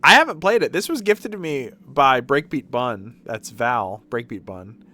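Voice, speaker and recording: Male game streamer, animated and bright, casual rambling delivery with mild swearing. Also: medium pitch, a little slow. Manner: rambling, self-interrupting, mildly sweary. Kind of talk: casual livestream gameplay chat.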